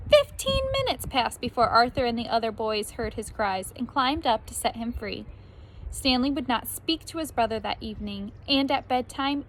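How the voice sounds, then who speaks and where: A woman reading a children's story aloud like a teacher, expressively, with animated emphasis on key words. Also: fairly high pitch, a little hiss or hum, poor audio.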